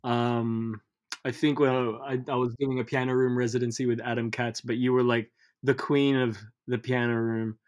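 A man in his thirties, a guest musician whose first language is Tagalog, talking in a relaxed, hesitant way with many pauses. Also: mid-range voice. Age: 30s. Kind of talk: casual interview chat.

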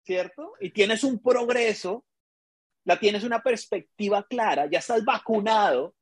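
A man's voice: sits high, a little slow, slightly rough, no room sound. A man with a Colombian accent, a business coach in casual podcast chat, telling stories and acting out both sides of conversations with emphasis. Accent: Colombian Spanish. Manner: storytelling, acts out dialogue, emphatic.

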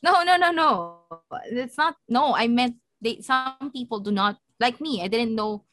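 A woman's voice: a little high, expressive, a little slow, a bit bright, faint music behind. A woman co-hosting a casual podcast, speaking frankly, laughing often and restarting her sentences. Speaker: podcast co-host. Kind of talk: casual chat. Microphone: video call.

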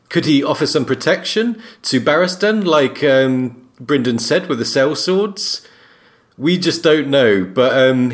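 A man co-hosting a podcast discussion, musing in a measured way and posing questions. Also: mid-range voice. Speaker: podcast co-host.

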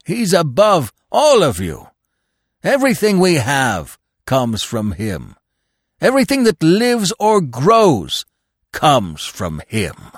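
A man voicing a fanatically devoted storybook dog, yelping loudly with servile fervour and defiance. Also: animated, slow pace, vocal fry, hardly any pauses, breathy edge, no echo, clean studio sound, full character voice. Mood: exultant devotion. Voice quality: high, loud, yelping. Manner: frenzied, fervent declamation.

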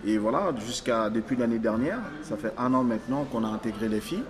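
A man born in Africa, a stylist talking as an interview guest, halting and restarting his phrases as he searches for words. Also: mid-range voice, music in the background. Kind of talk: casual interview conversation.

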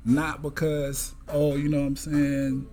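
Casual co-host: upbeat man, voice a touch high, laughs a lot. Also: unhurried. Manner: lively, laughs often, hypes others. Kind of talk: casual chat.

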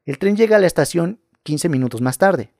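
Guy narrating creepy stories, kinda high voice, dry close sound. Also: expressive, fairly quick, darkish tone, no echo. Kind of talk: scripted narration of scary stories.

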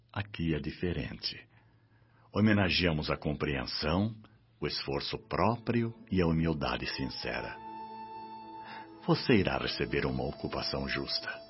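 Radio drama minister: paternal, wise authority, very animated intonation, measured delivery, muffled recording with music. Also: male voice, very deep voice, exaggerated voice. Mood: wise, solemn. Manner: paternal, welcoming, measured and sententious. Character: benevolent, wise government minister of the spirit world.

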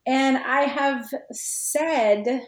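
A woman's voice: high-pitched, extremely slow. Echoey, informal woman podcast guest. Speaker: podcast guest, fan fiction writer. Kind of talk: casual podcast chat. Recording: roomy, echoey sound.

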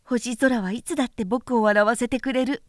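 A woman voicing a small boy prince from a storybook, gentle, wistful and tender, with soft childlike phrasing. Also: fairly high pitch, steady tone, moderate pace, no room sound, clean studio sound, natural voice. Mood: playful, tender. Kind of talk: storybook character voice in a literary recitation.

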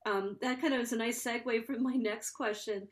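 Measured woman podcast host. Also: a little high, fairly even intonation. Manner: complimentary, measured questions. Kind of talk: interview questions.

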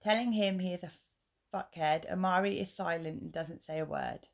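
A woman hosting a true crime podcast, her slightly low voice rough and gravelly from a sore throat. She talks in a chatty way and tells the story with feeling. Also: moderate pace. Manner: chatty, tells the case with emotion. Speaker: podcast host.

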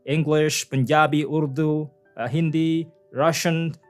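Man from Indonesia, somewhat high voice, friendly interviewer, faint music behind. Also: little pitch movement, unhurried. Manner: friendly, encouraging, laughs often. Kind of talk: casual interview chat.

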